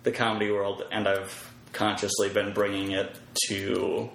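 Low-voiced, reflective man in a roomy space. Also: little pitch movement, a little slow, vocal fry, fairly bright tone. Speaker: podcast guest, web developer and comedy performer. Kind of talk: casual interview conversation. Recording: roomy echo, faint background noise.

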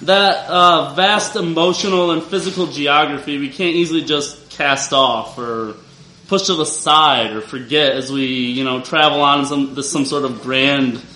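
A man telling a personal story to a live audience in a wry, confessional way, speaking loudly without the microphone. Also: on the high side, a little slow, a little hiss or hum. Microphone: not using the microphone. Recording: roomy live venue sound.

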